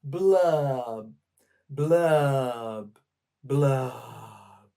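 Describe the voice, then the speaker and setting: A woman's voice: extremely low pitch, extremely slow, gravelly, warm tone, exaggerated voice. A woman putting on a glum pouting fish. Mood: mopey. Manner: mopey sing-song rhyming. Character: glum male pout fish, sulky and dreary.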